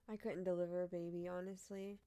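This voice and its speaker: A young woman with a deep-sounding voice, chatting casually on a live stream as she reads odd news headlines, blunt and swearing with frequent laughs. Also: warm tone, clear, resonant tone.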